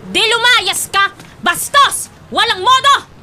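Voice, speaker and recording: A woman's voice: extremely high pitch, takes it slowly, bright, forceful tone, slight background noise, heavily acted. A woman playing a strict, easily outraged spinster aunt who scolds indignantly in a Filipino radio drama.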